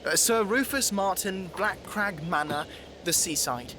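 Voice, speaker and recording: A woman's voice: medium pitch, unhurried, background noise, close to an everyday voice. A woman voicing a small, polite, earnest young male marten with a hesitant manner in a storybook audio drama. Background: loud music.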